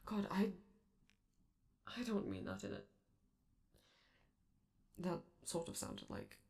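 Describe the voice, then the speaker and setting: A woman playing a flamboyant, protective robot entertainer in an intimate ASMR comfort roleplay, speaking softly and theatrically with affection, hesitance and breathy drops into whispers. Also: mid-range voice, fairly even intonation, takes it slowly. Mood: tender, hesitant.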